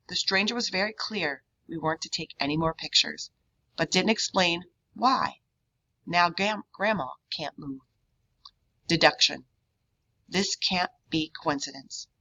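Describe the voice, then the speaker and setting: A woman voicing a curious, analytical young boy detective in a storybook, earnest and deliberate, on a dull, lo-fi recording. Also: low voice, bright, forceful tone, full character voice. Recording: dull, lo-fi sound.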